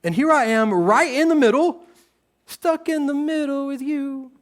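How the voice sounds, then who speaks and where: A man, preaching a sermon on a church stage in a relaxed, joking, conversational way. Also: very high voice, a little slow. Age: 30s. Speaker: pastor.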